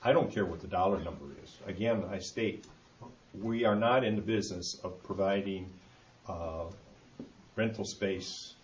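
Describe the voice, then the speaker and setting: Low-voiced man in an echoey meeting. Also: moderate pace. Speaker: township board member at a meeting. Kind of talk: deliberating at a public meeting. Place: indoor meeting hall. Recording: muffled, echoey meeting room, background hiss.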